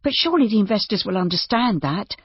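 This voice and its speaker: A narrator plays a sensible young woman who runs an inn, in an audiobook. The voice is lifted high, earnest and reassuring, with an anxious, kindly edge. The sound is close, dry and a little muffled. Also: brisk, husky, no room sound, lightly acted. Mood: anxious, soothing. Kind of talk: audiobook character dialogue.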